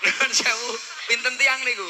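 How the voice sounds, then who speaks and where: A man, co-hosting with quick, laughing banter. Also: extremely high pitch, steady tone, background noise. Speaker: co-host. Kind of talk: joking stage banter.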